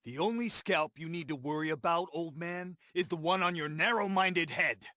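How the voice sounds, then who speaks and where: An actor playing an earnest, principled Lakota man in a video game, with a measured, firm and serious manner. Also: fairly high pitch, pushed and bright, no room sound, clean studio sound. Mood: defiant. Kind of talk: scripted game cutscene acting and narration.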